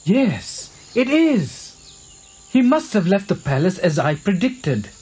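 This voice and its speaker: An actor playing a devoted, eager ascetic companion with an earnest, deliberate manner in an animated film. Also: male voice, sits high, husky, exaggerated voice.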